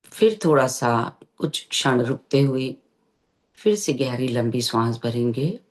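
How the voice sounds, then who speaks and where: Slow-paced guided meditation teacher. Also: medium pitch, low-quality recording. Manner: unhurried, gentle instructing with long pauses. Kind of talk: guided meditation instruction in Hindi.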